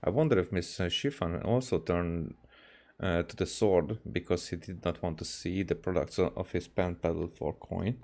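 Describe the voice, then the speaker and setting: A man doing a let's play, reading game dialogue aloud in a halting way and adding comments. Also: deep voice, vocal fry, a little mellow. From Hungary. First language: Hungarian. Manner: halting, stumbling over lines while reading. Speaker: game streamer.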